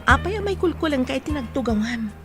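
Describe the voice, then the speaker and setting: A woman playing a high-voiced, insistent young Ilocano investigator. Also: animated, fast talker, music in the background. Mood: accusing, pressing. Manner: probing, insistent questioning. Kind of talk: Ilocano radio drama acting.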